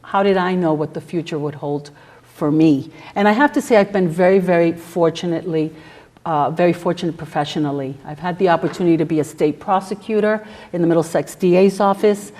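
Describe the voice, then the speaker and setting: Middle-aged woman, first language Spanish, lower register, formal prepared address, steady delivery with many fillers. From New York City. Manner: measured, formal, frequent "uh" fillers. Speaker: guest speaker, federal prosecutor. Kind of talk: prepared speech to a campus audience.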